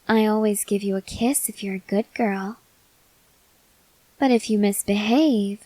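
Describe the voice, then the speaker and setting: Woman acting as possessive female vampire, calm, seductive, unhurried delivery, softly stern, close dry sound, ASMR roleplay. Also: mid-range voice, dry recording, poor audio. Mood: teasing, commanding. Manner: slow, deliberate, softly stern, at times whispering.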